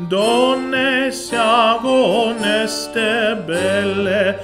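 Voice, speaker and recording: A woman sings slowly in a classical Renaissance style. The sound is very echoey, and instrumental music accompanies her high voice. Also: steady tone. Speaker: singer.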